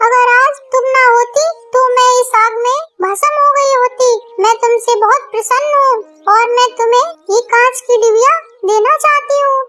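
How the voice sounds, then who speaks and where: A woman's voice: very high-pitched, steady tone, moderate pace, hardly any pauses, sharp tone, pitched above the performer's own voice. A woman voicing a grateful, generous female serpent in a cartoon story, speaking earnestly. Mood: grateful, kind. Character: grateful female serpent granting a magic gift.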